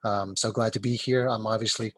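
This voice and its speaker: A man introducing himself on a panel, halting with many fillers. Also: fairly low pitch, steady tone, dry recording. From Taiwan. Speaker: panelist, bank executive.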